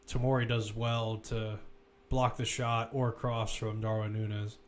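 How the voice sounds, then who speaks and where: A man's voice: lowish voice, level tone, a little slow, slight background noise. A man giving live football play-by-play commentary, describing the action as it runs, with asides and self-corrections.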